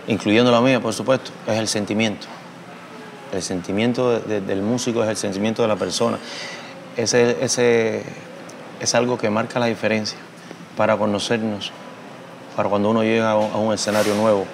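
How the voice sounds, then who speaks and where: A Cuban man in his thirties talks in a low, gravelly voice with a Cuban Spanish accent. He speaks thoughtfully, pausing with fillers and restarting phrases. A faint hum sits under the recording in a bright indoor room. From Cuba. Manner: reflective, hesitant with fillers and restarts, expressive hand gestures. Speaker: musician. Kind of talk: interview answers.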